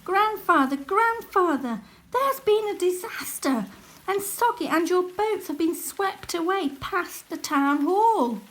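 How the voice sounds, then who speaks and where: Woman acting an excited, high-pitched young storybook boy. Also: slow, exaggerated voice, poor audio. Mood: alarmed. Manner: urgent, loud calling. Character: excited, frantic young boy shouting to his grandfather.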